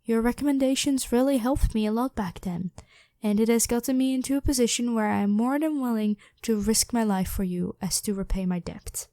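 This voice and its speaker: A woman's voice: on the high side, a little fast, dark tone, no room sound, lightly acted. A woman voicing a devoted, protective royal knight, head of the guard, with formal, deferential speech in a scripted ASMR roleplay. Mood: grateful, humble. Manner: formal, deferential address to a monarch.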